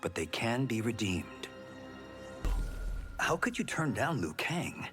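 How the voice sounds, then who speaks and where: An actor playing an earnest, concerned warrior in a video game, speaking sincerely and rather formally. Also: male voice, medium pitch, very animated, slow pace, a bit bright. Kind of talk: video game character dialogue. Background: game music.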